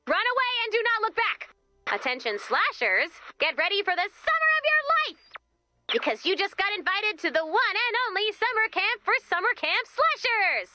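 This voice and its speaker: A woman's voice: very high voice, bright, forceful tone, no echo, exaggerated voice. A woman voicing a perky, bossy young summer camp director in a video game, with emphatic delivery. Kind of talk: video game character acting.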